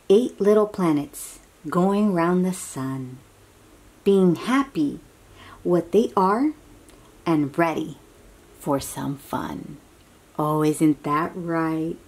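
Very animated woman reading to young children. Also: fairly low pitch, slightly rough. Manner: expressive, exclaiming, with drawn-out words and hushed asides; talks directly to young listeners with simple questions. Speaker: children's read-aloud host.

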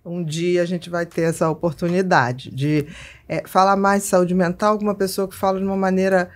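A woman's voice: fairly low pitch, fairly even intonation, fairly quick. A middle-aged woman with a Brazilian accent, chatting casually as a podcast host into a close studio microphone. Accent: Brazilian Portuguese. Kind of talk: casual interview chat. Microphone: desk broadcast microphone up close.

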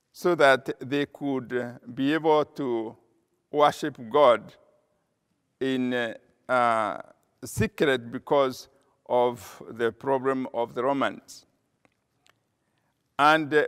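An older man preaching a sermon from a church pulpit, slow and measured, pausing with frequent "uh" as he cites scripture. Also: mid-range voice. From Kenya. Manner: slow, measured delivery with frequent uh pauses, reading scripture aloud. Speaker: pastor. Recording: long reverb of a church hall.

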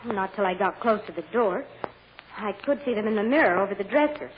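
A woman's voice: mid-range voice, lively intonation, fairly quick, lightly acted. Female hotel maid in the witness box, played by an actress: hesitant, deferential, plain-spoken, on a muffled, noisy vintage radio recording. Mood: insistent, earnest. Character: earnest, deferential hotel chambermaid testifying as a witness. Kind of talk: radio courtroom drama acting.

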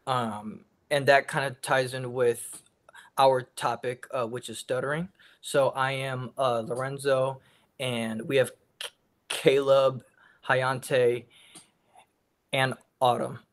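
Young man with a stutter, student presenter, flat level voice, laptop mic. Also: mid-range voice, takes it slowly. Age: young adult. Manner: stutters with sound repetitions and blocks. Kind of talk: class presentation on a video call.

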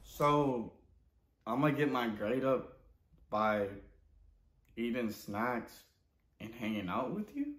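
A man's voice: mid-range voice, sing-song, takes it slowly, long pauses, a bit bright. A young man with an American accent playing an awkward student in a casual comedy roleplay, slangy and hesitant. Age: young adult. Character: naive, awkward male student. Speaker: host of a parody channel. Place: indoors in a living room. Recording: roomy, echoey indoor sound.

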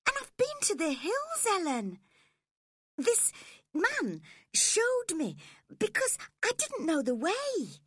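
A woman voicing a spoiled, imperious teenage girl in a storybook reading, with a high, petulant delivery. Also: wildly swooping pitch, slow, a bit bright, no echo, clean studio sound, heavily acted. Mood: tearful. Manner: haughty, petulant, high-pitched.

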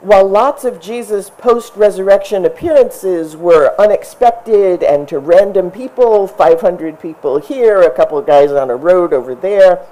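A middle-aged woman pastor giving a measured, explanatory sermon at a lectern mic under an outdoor tent. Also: on the low side, animated, dark tone, low-quality recording. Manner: measured, explanatory preaching with emphatic rises. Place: outdoor tent service in front of a brick church. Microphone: stand mic at a lectern, far camera.

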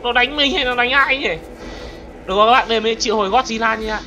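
A gaming video host giving excited gameplay commentary, exclaiming often and addressing viewers like friends. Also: mid-range voice, fast talker. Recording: music behind the voice. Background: background music.

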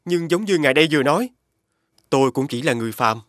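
Higher-voiced, courteous young scholar. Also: male voice, animated, fast talker, a bit bright, dry recording, clean studio sound. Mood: respectful. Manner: polite, formal. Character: courteous, poetic young mortal scholar, homesick wanderer. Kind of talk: animated fairy-tale voice acting.

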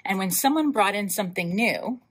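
A woman hosting a library storytime, reading a picture book aloud in an animated way, with emphatic drawn-out words and asides to young listeners. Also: medium pitch, slightly rough. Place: indoors, in front of a wall with floral decals.

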